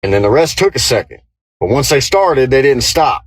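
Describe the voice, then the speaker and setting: A man's voice: mid-range voice, sing-song, normal speed, slightly rough. A man, recounting his exit from a job in a defiant, rambling monologue. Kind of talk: candid monologue in a played clip.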